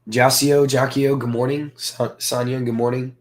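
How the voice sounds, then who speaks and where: A hoarse man from the southern United States, hosting a casual livestream and chatting with viewers. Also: medium pitch, flat delivery, moderate pace. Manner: rambling, filler-heavy, greets chat viewers. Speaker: crypto livestream host.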